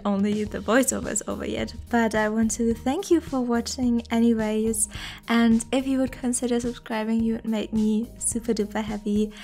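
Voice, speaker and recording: Slow, even-toned woman with creaky voice. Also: a little high. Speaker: video creator narrating a game build. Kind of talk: casual voice-over commentary.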